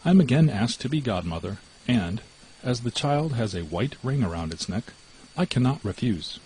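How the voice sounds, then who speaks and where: A man voicing a sly, greedy female cat in a storybook, smooth-talking and coolly deceitful. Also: mid-range voice, animated, normal speed, darkish tone, low-quality recording. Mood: wheedling. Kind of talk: storybook character voice.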